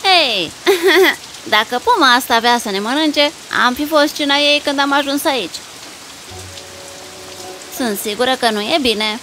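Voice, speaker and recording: A woman voicing a caring, expressive young village girl in a dubbed cartoon fairy tale. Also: high-pitched, a little hiss or hum, poor audio. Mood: concerned.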